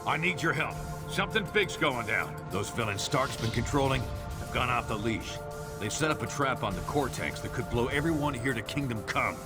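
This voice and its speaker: A man voicing a gruff, middle-aged spymaster in a video game cutscene, commanding and wry. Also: mid-range voice, animated, normal speed, natural voice. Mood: urgent, commanding. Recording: voice over loud background music. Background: loud music.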